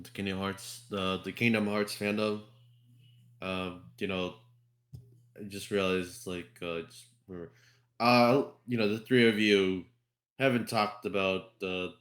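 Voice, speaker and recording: Deep-voiced, halting male host. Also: fairly bright tone. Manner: hesitant, halting. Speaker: podcast host. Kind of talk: casual chat.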